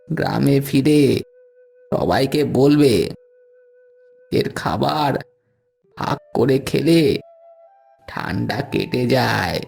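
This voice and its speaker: Man as a slow, creaky old village uncle. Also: medium pitch, full character voice. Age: older. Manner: gently moralizing. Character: kindly old village woodcutter uncle, wise and gentle. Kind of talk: Bengali moral-story cartoon voice acting.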